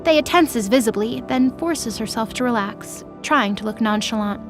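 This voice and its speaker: Woman narrator, slightly high voice. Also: moderate pace, music in the background. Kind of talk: scripted dramatic narration of a story.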